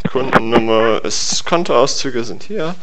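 A man's voice: medium pitch, lively intonation, unhurried, noisy background. Young man, slangy and laid-back on phone. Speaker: prank caller posing as a customer. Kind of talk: casual phone chat. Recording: telephone call.

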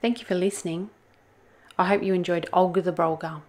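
A woman reading a children's storybook aloud as host, in an expressive style. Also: on the low side, fairly quick. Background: soft music.

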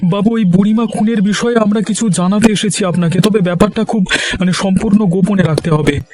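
Man playing a high-voiced village husband. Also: steady tone, brisk, a little mellow, faint music behind, close to an everyday voice. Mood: earnest. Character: reassuring, resolute village husband. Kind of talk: cartoon voice acting.

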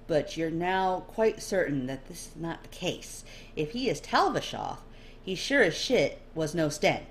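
A low-voiced woman reads fiction aloud in an expressive storytelling style. The recording has faint noise behind her. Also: a little slow. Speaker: amateur audiobook reader. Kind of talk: expressive scripted second-person story narration.

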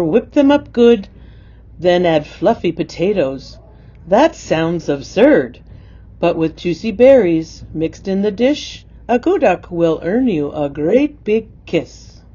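A woman's voice: mid-range voice, big pitch swings, slow, mellow, husky, dull audio. A woman reading a rhyming poem aloud. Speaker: poem reader.

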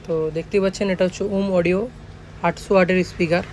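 West bengal guy doing casual workshop vlog, high voice, talks fast, some street noise behind him. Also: flat delivery. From Jhargram, West Bengal, India. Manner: repeats points, often adds tag phrases seeking agreement. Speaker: speaker workshop owner presenting a video.

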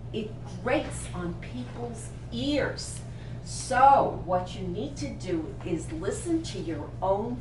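Brooklyn-accented older woman teaching a workshop. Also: mid-range voice. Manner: emphatic, punchy lists, frequent laughs, direct questions to audience. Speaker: image consultant presenting a workshop. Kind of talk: lively workshop talk to a small group. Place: boutique shop floor, indoors. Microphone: far camera, no visible mic. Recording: roomy, distant camera audio with background noise.

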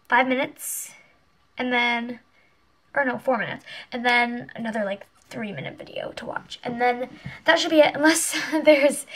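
A woman's voice: sits high, a bit bright, slightly rough. Stuffy-nosed teen girl vlogging at night. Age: teenager. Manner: chatty, rambling, often drops to a whisper. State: stuffy nose. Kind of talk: casual vlog chat. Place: small bedroom at night. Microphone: webcam on a desk lamp.

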